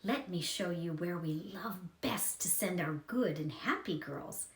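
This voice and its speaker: A kindly male spirit guide as a woman reads him speaks in a storybook. The manner is gentle and instructive, with clear room echo around the words. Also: fairly low pitch, expressive, unhurried, far from the mic. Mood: gently insistent. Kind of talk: storybook character reading.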